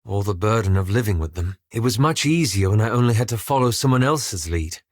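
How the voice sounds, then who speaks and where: A man playing a weary, guilt-ridden elf adventurer in a video game, speaking in a measured, formal and articulate way with slight hesitance. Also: on the low side, expressive, no room sound, clean studio sound. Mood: weary, regretful. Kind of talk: video game character dialogue.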